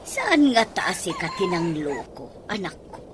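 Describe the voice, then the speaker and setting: A woman's voice: lowish voice, huge pitch swings, a little slow, background noise. A woman acting an exasperated but reasoning mother in an Ilocano radio drama, questioning and scolding with an Ilocano accent. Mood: reproving, reasoning.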